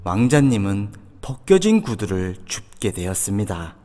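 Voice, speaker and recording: A man's voice: lowish voice, big pitch swings, moderate pace, music in the background. A man reading a children's fairy tale aloud as a scripted storybook narrator.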